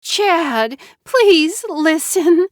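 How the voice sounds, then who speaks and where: A woman narrator voicing a manipulative young wife who pleads in a high, whiny little-girl voice through sobs. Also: animated, very slow, no echo, clean studio sound, exaggerated voice. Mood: tearful pleading. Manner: high little-girl whine, sobbing pleas.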